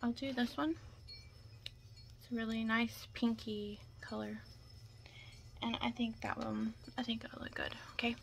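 A young woman doing an ASMR roleplay in whispers and soft talk, chatting casually and reassuringly close to the mic. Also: on the high side, little pitch movement, unhurried, vocal fry, pauses often, a little hiss or hum. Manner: casual, chatty, reassuring makeup artist patter.

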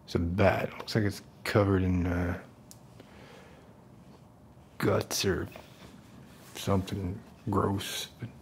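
A middle-aged man acting an unstable, falsely gentle survivalist in a soft-spoken ASMR roleplay, rambling haltingly. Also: deep voice, level tone, slight background noise. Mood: musing, uneasy. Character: unstable, falsely gentle self-appointed protector, survivalist loner.

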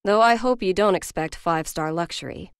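An actress playing a skeptical government aide in an animated game, with a crisp, formal, matter-of-fact delivery. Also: female voice, lowish voice, brisk, no echo, lightly acted. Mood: dry, official. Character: brisk, businesslike, skeptical female government aide. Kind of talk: dubbed animated game cutscene acting.

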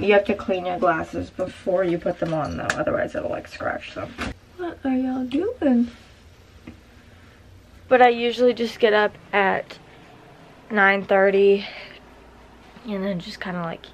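A woman's voice: mid-range voice, steady tone, measured pace, long pauses, slight background noise. Stuffy-nosed young woman vlogging. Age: young adult. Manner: chatty, filler-heavy. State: stuffy nose. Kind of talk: casual vlog chat. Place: small apartment, indoors.

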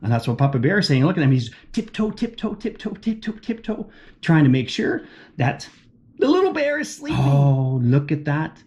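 A man's voice: on the high side, moderate pace. A man reading a children's book aloud, asking the young listeners questions along the way. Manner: animated, asks children questions. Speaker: children's story reader.

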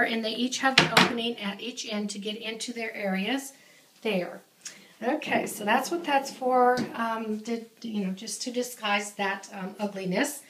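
A woman's voice: on the high side, a bit bright, a little hiss or hum. A woman giving a casual home tour of her laundry room, talking while filming with a handheld camera, with many ums and restarts. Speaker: home organizing vlogger. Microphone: handheld camera, off screen. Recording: echoey small tiled room.

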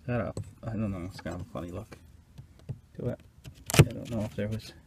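A man's voice: on the low side, brisk, very noisy. A man casually narrating as he inspects his beehives outdoors, hesitant and unsure, thinking aloud. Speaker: beekeeper.

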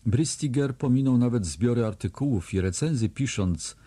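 A man's voice: mid-range voice, lively intonation, normal speed, warm tone, breathy edge. A man reading diary entries aloud as an audiobook narrator, with a dry, sardonic delivery that lands hard on the insults. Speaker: audiobook reader.

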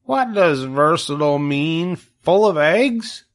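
Storybook young pig, naive and needy, pitched way up, pleading earnestly; performed by a man. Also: lively intonation, slow. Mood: curious, amazed.

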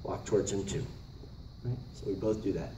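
A man's voice: lowish voice, moderate pace, mellow. A middle-aged man teaching a dance, giving short instructions and calling out counted steps. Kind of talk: dance lesson demonstration with step counting. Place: large carpeted indoor multipurpose room. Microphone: camera across the room. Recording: roomy, distant camera mic, faint noise.

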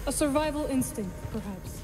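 A woman's voice: sits high, lively intonation, normal speed. A woman playing a stoic young witch in a video game, fierce and dry-witted, talking tersely. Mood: deadpan. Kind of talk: video game cutscene acting. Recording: reverberant scene under loud music. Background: loud cinematic music.

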